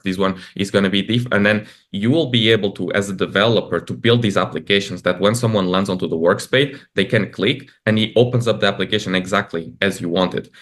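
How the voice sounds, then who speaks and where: Deep-ish guy from portugal chatting about his startup, lots of likes and uhs. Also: a bit bright. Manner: loose and conversational, many fillers like "like" and "uh". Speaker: guest, startup founder. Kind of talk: casual interview talk.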